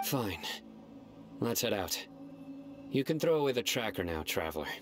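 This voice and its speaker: A man's voice: lowish voice, sing-song, normal speed. A man voicing a stern, relentless young investigator in a game, curt and commanding. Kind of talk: game voice acting. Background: game music.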